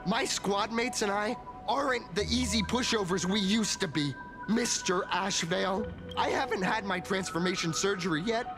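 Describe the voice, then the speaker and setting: A defiant young anime game hero, pitched high and very animated. He is idealistic and emphatic, and loud music plays underneath. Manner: emphatic, stammers under stress.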